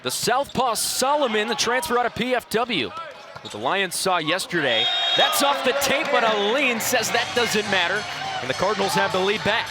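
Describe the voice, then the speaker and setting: High-voiced male play-by-play man, loud crowd. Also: normal speed. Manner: excitable, rising on big plays. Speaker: play-by-play announcer. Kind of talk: live volleyball TV commentary. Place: indoor sports arena. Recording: loud crowd and arena noise. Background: crowd, bouncing balls, music.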